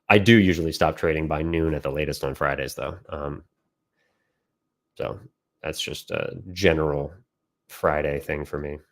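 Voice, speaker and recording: A man with an extremely low-pitched voice speaks as an educator in an informal online session. He explains step by step in a halting way, often stopping mid-phrase to find his next words. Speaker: trading educator and system developer. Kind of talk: informal instructional chart commentary with audience questions.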